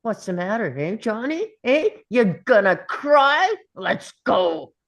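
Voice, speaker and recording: Woman playing a slow, menacing male school principal. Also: on the high side, very animated, muffled recording, exaggerated voice. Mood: mocking, scornful. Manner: taunting, contemptuous, drawn-out goading. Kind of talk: table read of a film script.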